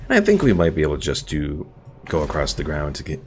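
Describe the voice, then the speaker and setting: A man's voice: rumbling low, huge pitch swings, quick pace, a little hiss or hum. A man streaming a game with casual live commentary, thinking aloud as he plays. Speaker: gaming streamer.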